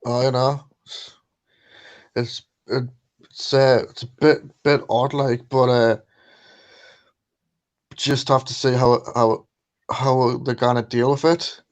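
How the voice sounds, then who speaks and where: A man joining a casual chat, speaking haltingly with many fillers and restarts. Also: medium pitch, flat delivery. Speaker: guest on stream.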